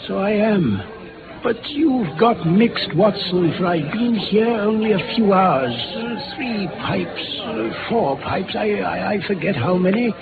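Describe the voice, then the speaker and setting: An actor playing a befuddled, self-pitying opium addict, halting and rambling, in an old-time radio drama. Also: male voice, high-pitched, lively intonation, heavily acted. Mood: dazed, confused. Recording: tinny old radio recording with music.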